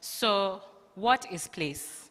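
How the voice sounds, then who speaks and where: A woman's voice: medium pitch, expressive, extremely slow, a bit bright. A woman from Kenya, a student, giving an upbeat conference presentation. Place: conference hall. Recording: long hall reverb.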